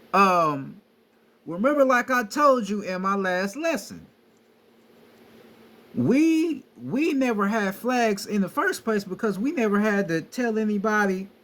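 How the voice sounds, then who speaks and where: A young man giving a casual, profane lecture on spiritual ideas, talking emphatically and repeating himself. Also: sits high. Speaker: spiritual teacher. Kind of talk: casual street-style lecture.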